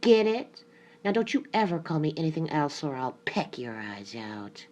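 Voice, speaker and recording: A woman's voice: deep voice, very animated, normal speed, a little mellow, slight background noise. A woman voicing a proud, vain male peacock in a storybook, haughty and screeching. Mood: boastful, bossy. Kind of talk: storybook character voice.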